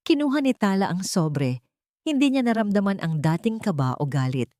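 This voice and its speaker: A woman's voice: mid-range voice, sing-song, normal speed, mellow, no echo. A woman reading a scripted romance story as its narrator.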